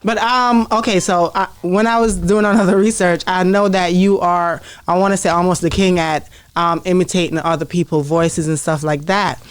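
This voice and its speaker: A woman's voice: on the low side, steady tone, normal speed, few pauses. A woman from Jamaica co-hosting an interview, asking curious, prompting questions. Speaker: co-host interviewer. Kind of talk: casual interview.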